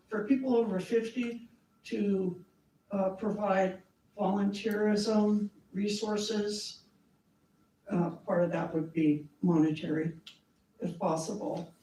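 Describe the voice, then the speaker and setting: A woman's voice: medium pitch, darkish tone, recorded from a distance. A woman with a scratchy voice giving a friendly, chatty presentation to a community meeting, with small laughs and fillers. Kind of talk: informal presentation to a group. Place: meeting room. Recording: echoey room, voice from off-mic.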